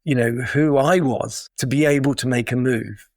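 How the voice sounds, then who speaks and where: British guy, middle-aged, chatty explainer, dry close sound, everyday pitch. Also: normal speed, no room sound. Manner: thoughtful, explanatory, with small restarts. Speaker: guest, digital detox coach. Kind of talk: casual interview talk.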